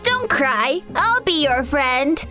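A woman's voice: high-pitched, big pitch swings, slow pace, over music, pitched above the performer's own voice, heavily acted. A woman voicing a small, naive, kindly male mouse in a children's storybook, earnest and innocent. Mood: concerned.

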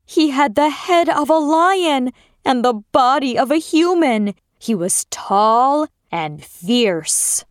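A woman narrating a fairy tale for children as a storyteller, with expressive delivery. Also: sits high, slow, dry recording, clean studio sound.

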